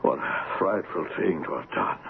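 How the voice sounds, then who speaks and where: An actor in a radio drama playing a weak, feverish colonial trader who talks in a halting, earnest, troubled way. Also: male voice, low-pitched. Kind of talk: old-time radio drama acting. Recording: muffled, noisy vintage radio broadcast.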